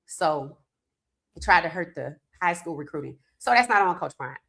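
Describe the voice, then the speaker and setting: A woman's voice: on the low side, lively intonation, normal speed, creaky, sharp tone. A woman hosting a casual sports podcast, a little congested from a cold, chatting loosely and talking straight to her viewers. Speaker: podcast host.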